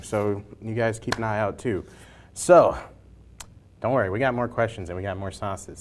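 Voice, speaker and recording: A man's voice: fairly low pitch, a little hiss or hum. A man hosting a casual web interview, speaking informally with jokey asides. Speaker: interview host.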